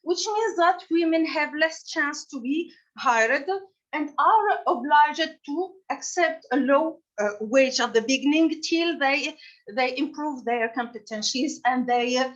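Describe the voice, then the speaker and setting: A woman with a Tunisian accent giving an academic conference presentation in a halting, hesitant way. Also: high voice, dull audio. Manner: halting, frequent hesitations mid-phrase. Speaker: conference presenter and session co-chair.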